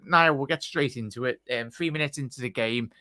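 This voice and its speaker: A man's voice: mid-range voice, fairly quick, bright, forceful tone, no echo, studio quality. A man hosting a casual live football reaction stream on a video call, chatty and calling everyone mate. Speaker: host.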